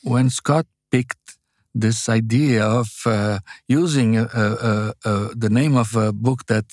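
An older man with a Brazilian accent, a guest author speaking haltingly and searching for words in an interview. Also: fairly low pitch, steady tone, darkish tone, no echo, studio quality. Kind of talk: interview conversation.

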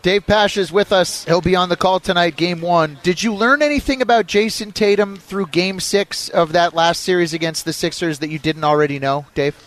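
A man's voice: sits high, moderate pace, hardly any pauses, a bit bright. A man hosting a sports radio show, putting questions to a guest in interview style.

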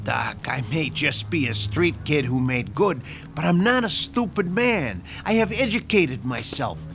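Guy doing a mob boss, gravelly, lively up and down voice, street slang, faint music. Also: fairly high pitch, unhurried, heavily acted. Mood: gruff. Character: self-made tough Chicago mob boss. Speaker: mobster. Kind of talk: radio drama acting.

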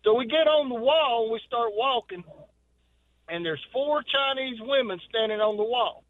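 A man's voice: very high voice, animated, moderate pace, no echo. A middle-aged man, calling in to a radio show and telling a folksy story. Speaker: caller. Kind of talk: telling a story on a phone call. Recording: tinny phone line.

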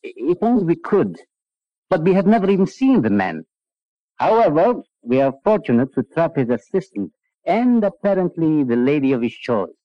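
Casting: formal, unyielding foreign official for vintage radio drama; highish voice, very expressive pitch. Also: male voice, dry recording. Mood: formal, insistent. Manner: formal, deliberate, with slightly foreign phrasing and hesitant uhs. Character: stern, courteous foreign male Balkan official, unyielding about swift justice. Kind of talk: 1940s radio drama acting. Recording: dull vintage 1940s radio recording.

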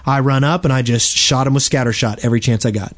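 A man's voice: mid-range voice, steady tone, fast. A man with a mid-range voice talks casually as a co-host on a gaming podcast. He tells anecdotes in a loose, rambling, conversational way.

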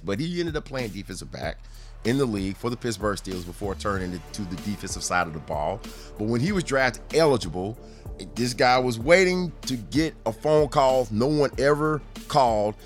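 A man hosting a podcast, telling sports stories in a casual, rambling, conversational way with amused asides. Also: mid-range voice. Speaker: podcast host.

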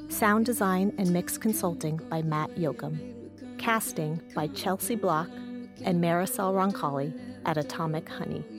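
A woman announcer giving a scripted read of end credits. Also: fairly low pitch.

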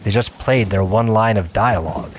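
A man's voice: lowish voice, normal speed, noisy background, close to an everyday voice. A young man playing a seasoned gamer, narrating his adventure in the first person in a conversational audio drama style. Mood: reflective. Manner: conversational, reflective. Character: wary, seasoned male gamer recounting his virtual adventure. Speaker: narrator and lead character.

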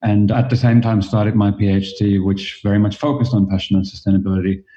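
A man with a Finnish accent talks in a low, very dark and mellow voice, thinking his points through at a measured pace. His audio comes through somewhat dull and muffled, like a remote podcast line. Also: level tone. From Finland. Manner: thoughtful, measured, with occasional restarts. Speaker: guest, fashion educator. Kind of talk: podcast interview.

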